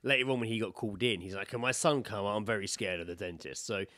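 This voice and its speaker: A man's voice: on the low side, fast, no room sound, studio quality. A British man co-hosting a podcast, telling anecdotes in a casual, sweary way and acting out what people said. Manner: sweary, animated storyteller who acts out quotes. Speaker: podcast co-host.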